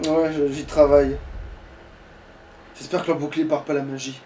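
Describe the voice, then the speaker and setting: A man's voice: on the high side, flat delivery, normal speed, mellow. A man chatting casually while playing a game, grumbling in coarse slang. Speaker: let's play co-host playing the game. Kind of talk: casual gaming chat. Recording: distant mic with faint noise.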